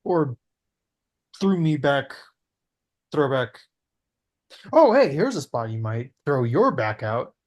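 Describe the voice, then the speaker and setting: A man's voice: medium pitch, very animated, takes it slowly, pauses often. A man voicing an earnest, rambling man who pushes his friend not to give up, at a table read.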